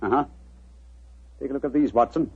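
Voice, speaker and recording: A British actor playing a shrewd, commanding gentleman detective in a 1940s radio drama, with an urbane, clipped delivery. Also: medium pitch, a little fast, breathy edge. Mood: intent. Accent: British English. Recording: dull 1940s radio recording.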